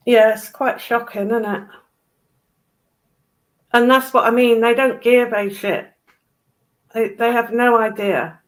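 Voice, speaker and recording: A woman's voice: fairly high pitch, fairly even intonation, slow pace, pauses often. A woman chatting casually as a guest on a live stream. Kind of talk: casual chat.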